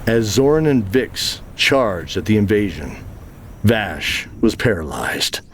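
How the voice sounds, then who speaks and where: A man's voice: fairly low pitch, sing-song, slow pace, slight background noise. A man narrating a scripted fantasy adventure story as the narrator of an audio drama.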